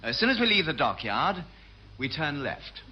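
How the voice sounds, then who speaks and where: An actor playing a dim, cheerful, easily flustered British naval officer with a dithering, earnest manner in a classic radio sitcom. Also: male voice, on the high side, very animated, normal speed. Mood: pleased, vague. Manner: silly-ass, dithering, earnest. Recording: dull, noisy old radio recording.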